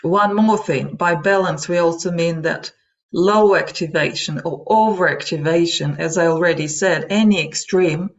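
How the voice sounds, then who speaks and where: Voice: mid-range voice, normal speed. A native Russian speaker with a Russian accent, presenting an explanatory webinar lecture in informal, hesitant phrasing with frequent asides. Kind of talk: explanatory lecture to an online audience. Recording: dull lo-fi webinar audio.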